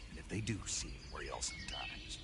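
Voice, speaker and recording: Very deep, gruff soldier. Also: male voice, normal speed, background noise. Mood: defensive. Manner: terse, deadpan. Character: gruff male soldier, blunt, defensive, hungry survivalist. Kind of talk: video game voice acting.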